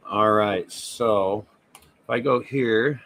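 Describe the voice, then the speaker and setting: A man's voice: lowish voice, very slow, a bit bright. A man hosting a casual podcast livestream, talking loosely and swearing freely as he thinks aloud. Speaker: podcast host. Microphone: remote stream setup.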